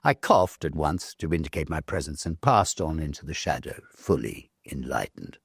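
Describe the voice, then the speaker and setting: A man reading a Victorian story aloud, measured and expressive, with dramatic pauses. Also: rumbling low, vocal fry, husky, no room sound, clean studio sound. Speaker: story reader, narrator. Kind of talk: dramatic literary narration of a Victorian ghost story.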